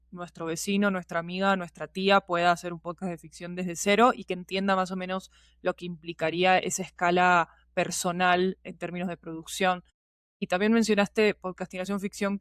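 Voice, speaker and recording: A woman's voice: lowish voice, clear, resonant tone, no room sound, clean studio sound. A woman speaking as an interview guest, giving articulate, detailed explanations in a conversational way. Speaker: podcast guest, fiction podcast producer and teacher.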